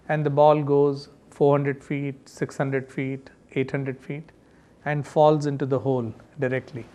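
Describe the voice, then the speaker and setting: Man, 50s, Tamil speaker, executive, confident, expansive, middle pitch. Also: darkish tone. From India. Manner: confident, expansive, pauses with "uh" between points. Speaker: company executive, interview guest. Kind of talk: interview answers.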